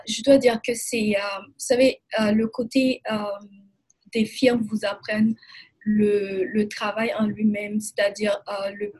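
A woman from Burkina Faso, answering interview questions in hesitant, measured phrasing. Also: mid-range voice, a little mellow, husky, rough recording. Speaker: guest, immigration lawyer.

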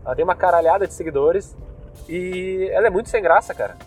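Young gaúcho guy talking in his car, kinda high voice, slangy loose ramble, faint music behind. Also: fast. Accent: Gaúcho Brazilian Portuguese. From southern Brazil. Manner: loose slangy talk, many fillers. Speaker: podcast host. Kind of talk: casual rambling monologue. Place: inside a car.

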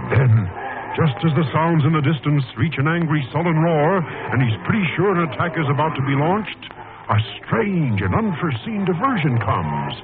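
A man's voice: medium pitch, slight background noise. A man narrating a 1940s radio adventure serial in a theatrical, suspenseful, emphatic style. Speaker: announcer-narrator. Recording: tinny, band-limited old radio broadcast, music.

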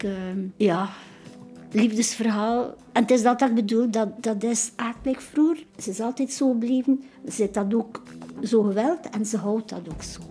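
Flemish lady collector gushing about an artist, soft mellow voice, lots of uh's. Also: mid-range voice. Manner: enthusiastic, warm-hearted rambling with fillers. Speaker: art collector. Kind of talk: casual interview chat.

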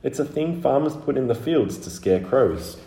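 A man's voice: medium pitch, animated, normal speed, vocal fry, dark tone, roomy sound, pitched above the performer's own voice. A man voicing a candid, stubborn little girl in a storybook, matter-of-fact and childlike. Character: small young girl, candid, stubborn, easily irritated.

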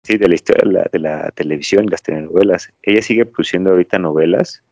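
Deep-voiced Mexican man, rambling podcast host. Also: fast talker, a little mellow, no echo. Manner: rambling, storytelling, digressive. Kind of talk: casual chat. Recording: dry, slightly dull lo-fi audio.

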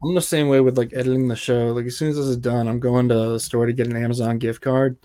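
A man hosting a podcast, asking questions in a relaxed, loose way with fillers. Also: medium pitch, fast, no echo. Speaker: podcast host. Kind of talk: casual interview hosting. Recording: dry close mic.

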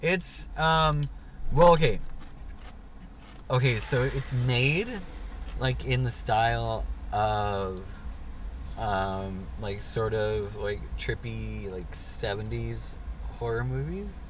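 A man's voice: mid-range voice, slow, a bit bright, a little hiss or hum. A young man co-hosting a podcast, chatting casually from a parked car and rambling with lots of fillers. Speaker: podcast co-host. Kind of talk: casual chat.

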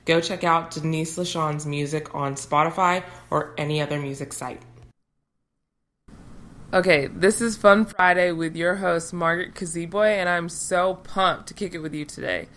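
A woman's voice: on the low side, moderate pace, a bit bright. A young American woman hosting a casual, upbeat question-and-answer show, chatting informally to listeners. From United States. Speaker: host of a Q&A show.

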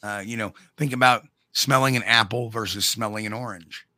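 A man with a New York accent co-hosting a casual live chat show, talking in a folksy, blunt way with drawn-out emphasis. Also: fairly low pitch, fairly even intonation, pushed and bright, dry recording. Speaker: co-host.

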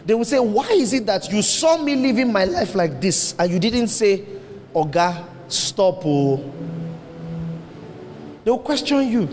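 A man preaches with emphatic force, often leaping into high exclamations. His voice sits high for a man. The hall gives it a long echo over faint background noise. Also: big pitch swings, a little slow, pauses often, darkish tone. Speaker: pastor. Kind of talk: sermon. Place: church hall.

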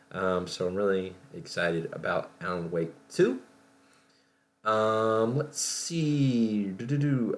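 A man hosting a podcast, talking casually about gaming news in a halting, rambling way, as if thinking aloud. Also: low-pitched. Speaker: podcast host. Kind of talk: casual solo show talk about video game news.

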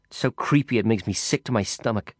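A man's voice: on the low side, fairly quick, no room sound, studio quality, close to an everyday voice. A man voicing a brash, hard-drinking woman in an audiobook, blunt, profane and sneering. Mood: drunk chatter. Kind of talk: audiobook character voice.

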